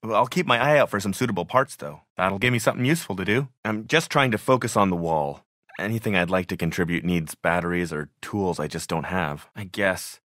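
A nerdy, mild-mannered survivor in a zombie video game. His voice sits fairly low and is recorded close and dry. He talks in an earnest, slightly hesitant way and turns pedantic when he explains things. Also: a little fast, fairly bright tone, no room sound. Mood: downcast, mild. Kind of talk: naturalistic video game voice acting.

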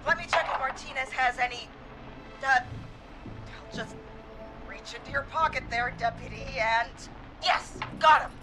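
A woman's voice: high-pitched, a little slow, pauses often, music in the background, low-quality recording. A woman acting a resolute but frightened police dispatcher in a video game, urgent and hesitant under stress. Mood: tense, searching.

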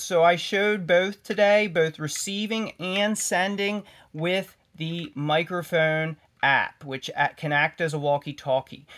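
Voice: mid-range voice, fairly bright tone. A tech tutorial host giving a casual hands-on demonstration in a cautionary, instructive manner.

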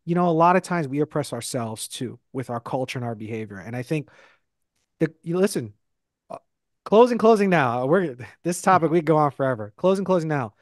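A man's voice: on the high side, expressive, a little fast, no room sound. A man in his thirties from the Bronx, making his points emphatically and directly on a headset and close mic. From the Bronx, New York. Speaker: podcast guest speaker. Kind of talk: casual panel discussion. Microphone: headset and close studio mic.

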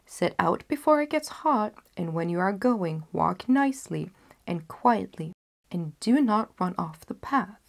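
A woman voicing a caring fairy-tale mother who instructs her child in a careful, gently bossy way. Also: medium pitch. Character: caring, instructing storybook mother of a little girl.

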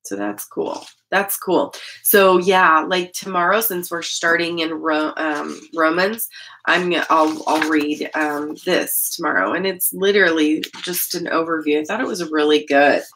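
A middle-aged American woman with a deep voice, talking in a chatty, rambling way like a casual devotional vlogger. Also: measured pace, fairly bright tone, a little hiss or hum, poor audio. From Idaho, United States. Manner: rambling, chatty, often breaking off and restarting sentences. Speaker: host of a Bible-reading channel. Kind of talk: casual devotional vlog chat. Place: small indoor room at home.

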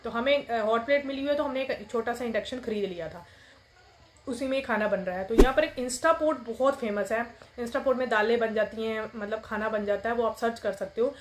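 A woman's voice: a little high, fairly quick, a little hiss or hum. A woman in her thirties vlogging casual advice in a small room, talking through numbered points with many fillers. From India. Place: small indoor room with plain wall.

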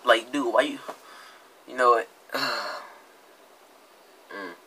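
A man's voice: medium pitch, very slow, bright, forceful tone, a little hiss or hum, low-quality recording. A young American man giving casual, slangy comedic commentary, mocking and exasperated, often repeating himself. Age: young adult. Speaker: reaction video host. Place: indoor room with green screen.

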